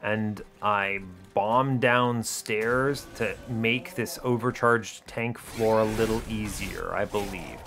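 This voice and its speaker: A man's voice: lowish voice, steady tone, slow, few pauses, a bit bright, faint music behind. Man thinking aloud through game strategy. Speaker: gaming video host.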